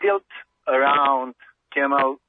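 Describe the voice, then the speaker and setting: A man's voice: medium pitch, completely flat delivery, slow pace, slight background noise. A male reporter from Serbia with a Serbian accent, whose first language is Serbian. He speaks English hesitantly, searching for his words as a guest on the show. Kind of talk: phone interview. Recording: tinny phone line.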